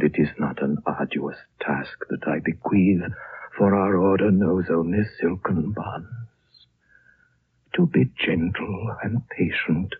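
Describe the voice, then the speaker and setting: An actor playing an ancient, dying High Lama in a 1930s radio drama, gentle and prophetic, speaking solemnly in a frail, husky, hushed voice. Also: male voice, exaggerated voice. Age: older. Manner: slow, solemn, hushed, with long pauses. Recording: muffled, band-limited 1930s radio recording.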